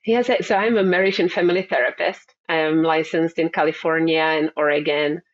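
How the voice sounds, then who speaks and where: A middle-aged woman from the Republic, a therapist answering interview questions in a thoughtful, halting way with many fillers and repeated words. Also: deep voice, fairly even intonation. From Czech Republic. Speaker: therapist guest. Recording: dull lo-fi sound.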